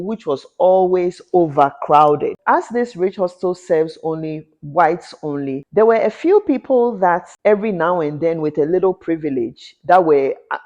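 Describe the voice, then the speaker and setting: A woman's voice: dark tone, highs cut off. A woman from Ghana, a fashion designer, giving an impassioned commentary vlog that often rises into a rant. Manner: impassioned, ranting, rising in pitch when indignant. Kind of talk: talking-head commentary vlog.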